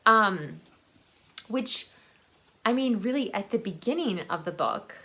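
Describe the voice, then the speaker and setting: Woman, book vlogger, unhurried slow pace, mid-range pitch, casual unscripted chat, halting, rephrases often. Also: expressive, sharp tone. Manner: halting, thinking aloud, trailing off and rephrasing.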